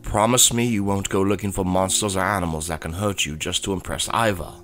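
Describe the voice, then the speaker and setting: A man acting a protective, gentle but firm elf father in an audio drama. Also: low voice, fairly even intonation, a little fast, faint music behind. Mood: gently firm.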